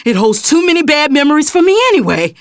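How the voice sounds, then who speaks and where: A resentful grown daughter in an audio drama. Her fairly high voice is taunting and lively in pitch, sarcastic yet wounded, in a dry studio sound. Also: quick pace, no echo. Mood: defiant, cutting. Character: resentful, defiant adult daughter, cutting and wounded.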